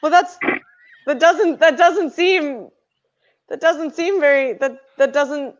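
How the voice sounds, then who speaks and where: A woman's voice: high-pitched, slow pace. A woman playing a flustered, stammering woman in an improv comedy scene, with a dull lo-fi sound. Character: flustered fan-obsessed woman.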